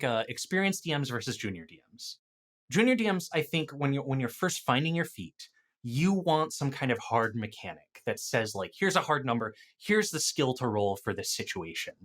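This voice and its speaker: A man in a casual gaming discussion, talking as an experienced dungeon master in an animated, opinionated way. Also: fairly high pitch, normal speed.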